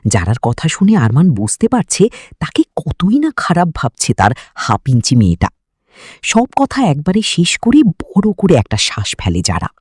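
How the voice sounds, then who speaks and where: A woman's voice: deep voice, huge pitch swings, moderate pace, darkish tone, breathy edge, dry recording, studio quality. A woman narrating a scripted story in a dramatic style.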